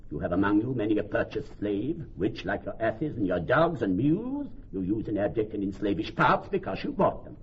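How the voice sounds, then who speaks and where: An actor playing a vengeful, defiant moneylender in a Shakespearean radio drama, with theatrical, biting emphasis. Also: male voice, lowish voice. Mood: scornful, defiant. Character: vengeful, defiant male moneylender with bitter scorn. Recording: muffled, faintly noisy old broadcast recording.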